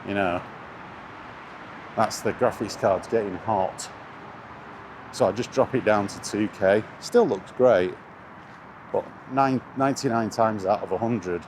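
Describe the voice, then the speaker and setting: Low-voiced man chatting outdoors amid noise. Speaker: gaming YouTuber. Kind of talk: casual rambling chat, walkie-talkie vlog. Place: outdoors, walking near traffic. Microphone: handheld camera. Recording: noisy outdoor recording with urban traffic. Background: outdoor urban and vehicle noise.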